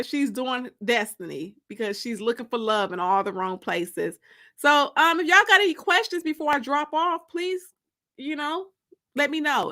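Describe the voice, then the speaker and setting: Female story-time host, high-pitched and forceful, casual and gossipy, talking straight to her viewers. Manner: gossipy, informal, repeats phrases for emphasis. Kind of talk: casual livestream chat.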